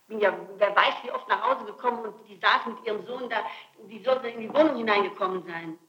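A middle-aged woman answering questions in an interrogation, insistent and flatly contradicting, on an archival tape recording. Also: mid-range voice, fast, roomy sound, dull audio. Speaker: suspect under interrogation.

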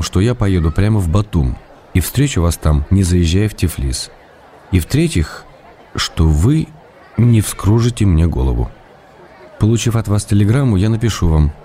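A man's voice: deep voice, mellow. An actor playing an ironic, tender male writer who reads his letters with playful mock-drama. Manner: teasing mock-dramatic irony. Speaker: letter reader. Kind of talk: acted reading of letters in a radio play.

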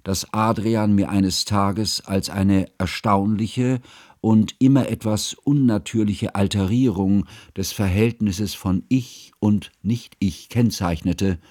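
Casting: pedantic male scholar-narrator for radio drama; low register, dry close sound, formal and brooding. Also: steady tone, takes it slowly, darkish tone, no room sound. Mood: grave, brooding. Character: stiff, pedantic, brooding scholar narrating his friend's story. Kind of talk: German radio play narration.

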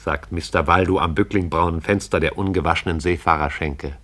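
A man narrates a radio play in a measured, poetic way. His voice is very deep. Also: steady tone, a bit bright.

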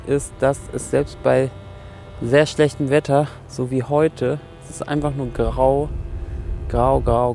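Slow, creaky, mellow-voiced man. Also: mid-range voice. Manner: halting, searching, repeats words. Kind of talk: reflective spontaneous talk.

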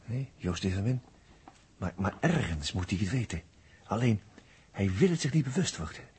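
A man's voice: fairly low pitch, very animated, normal speed, a little mellow, slight background noise. An actor playing a watchful, knowing male observer in a Dutch 1960s radio drama. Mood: hushed, intent. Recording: rough 1960s radio recording.

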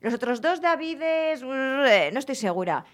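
An enthusiastic woman hosts a casual podcast chat with friends. She talks with clear emphasis, pressing her points and stretching words for effect. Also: high-pitched, lively intonation, unhurried. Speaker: podcast host.

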